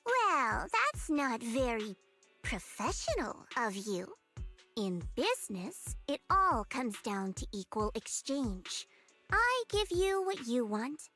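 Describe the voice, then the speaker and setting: A woman's voice: high-pitched, a bit bright, full character voice. A woman voicing a teasing, self-assured young female agent in a video game, with a playful, smug, coaxing delivery.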